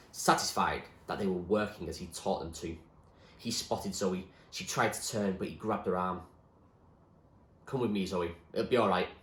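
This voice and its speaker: A man reading a novel aloud as expressive storybook narration, like a teacher reading to a class. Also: low voice, echoey room.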